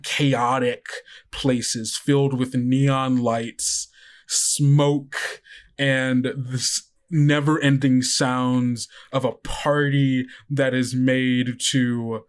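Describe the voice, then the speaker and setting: Male game master, mid-range voice, narrating a tabletop story for players. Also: medium pitch. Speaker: game master of an actual-play podcast.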